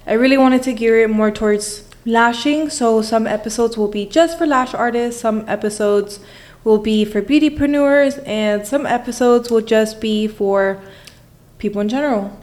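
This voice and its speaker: A woman's voice: a little high, moderate pace, darkish tone. A woman in her twenties hosting a casual podcast in a bubbly, informal, teasing way. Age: 20s. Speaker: podcast host.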